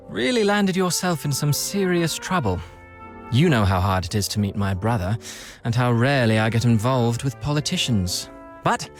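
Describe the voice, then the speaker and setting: Suave royal played by a male actor, mid-pitched, theatrical and wry, refined game dialogue over faint music. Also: close to an everyday voice. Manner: theatrical, refined formal diction. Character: suave aristocratic prince, eloquent, wry, caring toward friend. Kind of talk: video game voice acting. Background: background music.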